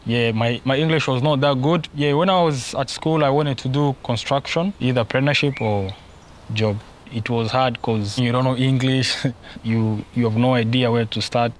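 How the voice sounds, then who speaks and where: A man's voice: medium pitch, fairly even intonation, normal speed, slight background noise. Young man from Congo, plain speech. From Congo, grew up in Kenya. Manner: simple phrasing, many "yeah"s. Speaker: worker interviewee. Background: music.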